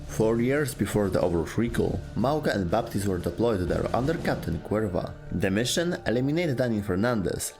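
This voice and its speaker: A man with a low voice delivers scripted lore narration. His storytelling is dramatic and clipped, with very lively intonation over faint background music.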